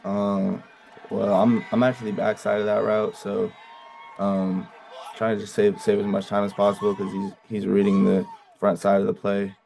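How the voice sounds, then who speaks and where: A young man from the Bay Area talks through his plays in a casual, halting way, often circling back with ums and restarts. His voice sits very low and stays nearly flat in pitch. It has a gravelly, mellow, dark tone. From Bay Area, California. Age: young adult. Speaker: college football player. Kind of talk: casual interview answers.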